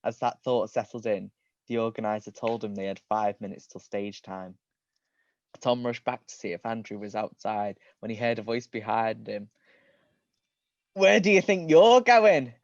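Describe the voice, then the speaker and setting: A man's voice: lowish voice, level tone, moderate pace, darkish tone, dull audio. A man reading comedic fan fiction aloud on a livestream. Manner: often breaks into giggles. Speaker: reader.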